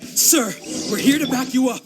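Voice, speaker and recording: An actor playing a nervous, obedient soldier underling in an anime game, flustered and deferential. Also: male voice, extremely high pitch, normal speed, over loud music. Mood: eager. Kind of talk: English anime game voice acting.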